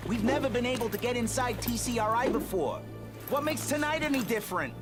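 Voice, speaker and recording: Hot-headed male game turtle: wisecracking, street slang, very expressive delivery, music underneath. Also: very high voice, fairly quick. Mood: sarcastic, grumbling. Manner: sarcastic, slangy street talk. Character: gruff, sarcastic, hot-headed young male mutant turtle brawler.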